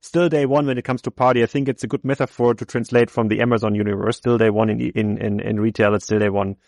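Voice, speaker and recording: A man hosting an interview podcast, speaking English that is not his first language. He talks haltingly, often stopping to search for words. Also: lowish voice, level tone, vocal fry, a little mellow, no echo, clean studio sound. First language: German. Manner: halting, many fillers and restarts, searching for words. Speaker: podcast host.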